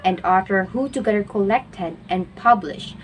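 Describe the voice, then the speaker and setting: A woman's voice: mid-range voice, moderate pace, soft background music. A woman reading a scripted educational narration aloud in a formal manner. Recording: noticeable background noise.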